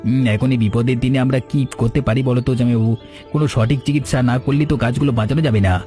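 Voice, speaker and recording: A man's voice: mid-range voice, fairly even intonation, rapid-fire, mellow, soft background music, close to an everyday voice. A man voicing an earnest, urgent young village lad, the younger brother in a cartoon story. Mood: worried. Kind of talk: Bengali cartoon story dialogue.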